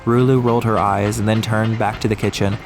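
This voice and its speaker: A man's voice: on the low side, level tone, brisk. A man narrating an atmospheric audiobook story from a script. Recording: music behind the voice.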